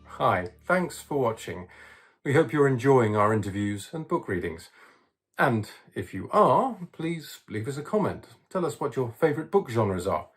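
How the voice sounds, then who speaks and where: A man's voice: medium pitch, sing-song, moderate pace, husky, faint music behind. A man delivering a scripted sign-off as a channel presenter.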